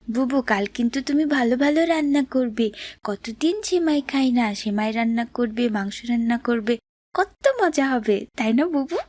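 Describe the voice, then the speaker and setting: A woman voicing an innocent, excitable little boy, a poor younger brother in a cartoon, with a pleading manner. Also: on the high side, moderate pace, close to an everyday voice. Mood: eager, pleading. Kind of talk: cartoon drama voice acting.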